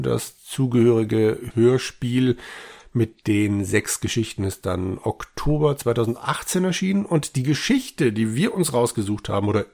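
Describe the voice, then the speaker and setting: A man's voice: mid-range voice, vocal fry, darkish tone. A man co-hosting a podcast, talking casually with an enthusiastic, emphatic manner. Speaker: podcast co-host. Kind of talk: casual conversational podcast chat.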